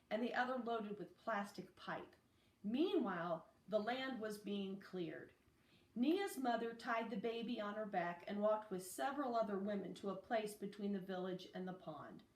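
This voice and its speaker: A woman reading a children's novel aloud as narration, in a deliberate, phrase-by-phrase way. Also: medium pitch. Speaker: audiobook reader. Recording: roomy, echoey, distant microphone.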